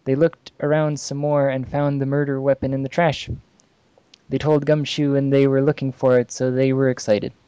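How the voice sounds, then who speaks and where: A man casually reading amateur fan fiction aloud on a podcast, halting and amused, with asides. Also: medium pitch, flat delivery, warm tone, smooth, no room sound, muffled recording.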